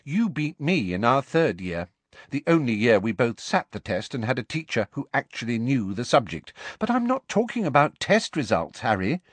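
A man's voice: medium pitch, very animated, normal speed, no room sound, pitched above the performer's own voice. A man reading aloud and voicing a clever teenage girl who speaks in a cool, precise, lecturing way. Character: clever teenage girl, brisk and self-assured.

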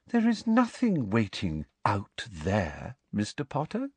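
A man's voice: fairly low pitch, huge pitch swings, slow pace, a little mellow, husky, no room sound, heavily acted. A man voicing a smug, sickly-sweet middle-aged female teacher from a storybook, with a honeyed trill and a precise, dismissive manner. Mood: coolly dismissive. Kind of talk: audiobook character voice.

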